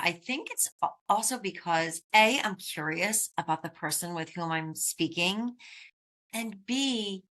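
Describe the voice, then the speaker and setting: An American woman hosts a relaxed podcast conversation, thinking aloud and laughing often. Her voice is bright, and she sits at a middle pitch. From United States. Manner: chatty, laughs often, reflective. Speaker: podcast host. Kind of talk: casual interview chat.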